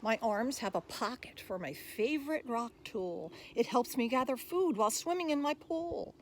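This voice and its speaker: Woman voicing a high singsong sea otter. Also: expressive, moderate pace, a little hiss or hum. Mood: gentle, worried. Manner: singsong rhyming delivery. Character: cute swift sea otter, gentle, worried for its future. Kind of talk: rhyming picture-book read-aloud.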